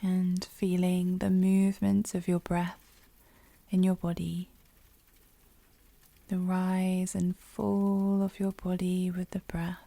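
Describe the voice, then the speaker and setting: A woman leading a guided yoga nidra meditation, speaking softly and soothingly with a breathy voice that often drops near a whisper. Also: lowish voice, monotone, no echo. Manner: soothing, gentle, unhurried pauses.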